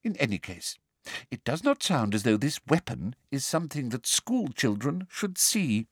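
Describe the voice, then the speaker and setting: A man voicing a cruel, falsely sweet middle-aged woman official with a prim, sharp manner. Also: mid-range voice, very animated, normal speed, husky, no room sound, studio quality, voice pushed up.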